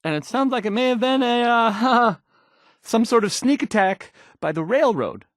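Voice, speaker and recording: A man's voice: extremely high pitch, expressive, dry recording, studio quality. A man playing an awkward, unsure young radio DJ in a video game, stumbling hesitantly through news reports. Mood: flustered. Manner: halting, stammering, hesitant, trailing off.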